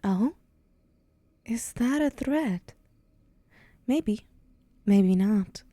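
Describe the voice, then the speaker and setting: A woman voicing a haughty, teasing and menacing demon in an ASMR roleplay, condescending and deliberate, with breathy whispered moments. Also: a little high, expressive, dark tone, no echo, exaggerated voice. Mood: threatening, amused.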